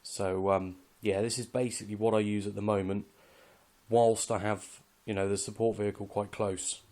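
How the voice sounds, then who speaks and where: A man's voice: on the low side, flat delivery, normal speed, a little mellow. A British man giving a casual, unscripted walkthrough of his kit in a matter-of-fact, slightly hesitant way. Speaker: hobbyist presenter showing radio kit.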